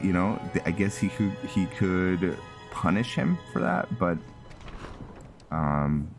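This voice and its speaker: A man's voice: low-pitched, big pitch swings, slow pace, gravelly, soft background music. A man giving casual, rambling game commentary, thinking aloud as he plays. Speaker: gamer narrating a playthrough.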